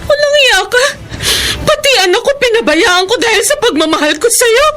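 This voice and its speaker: A very high, bright female voice with a Filipino accent, playing a wronged lover and regretful mother. It is intensely emotional radio drama delivery, piercing and forceful. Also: very animated, normal speed, slight background noise, heavily acted. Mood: furious, tearful. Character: betrayed live-in partner and regretful mother, emotional.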